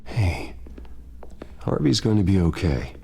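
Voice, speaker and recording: An actor playing an earnest, brooding wealthy young man in a video game drama, gravelly, gentle and hesitant as he reassures. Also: low-pitched, sing-song, takes it slowly, exaggerated voice. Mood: concerned, tender.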